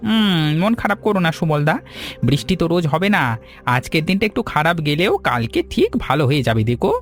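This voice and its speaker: A man's voice: fairly high pitch, very animated, fast, a bit bright, soft background music. A man voicing a sympathetic, reassuring village milkman with a gossipy manner in a cartoon story.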